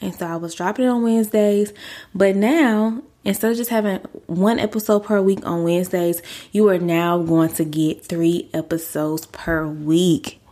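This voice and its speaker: A woman's voice: fairly even intonation, normal speed, mellow. A young woman hosting a faith-based podcast, six months pregnant and a little out of breath, talking earnestly and casually to her listeners. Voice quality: deeper than usual. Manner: earnest, chatty, uses y'all. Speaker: podcast host.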